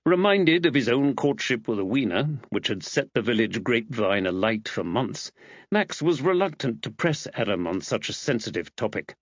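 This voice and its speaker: A man's voice: mid-range voice, animated, normal speed, no room sound, dull audio. A man reading a mystery novel aloud as scripted audiobook narration.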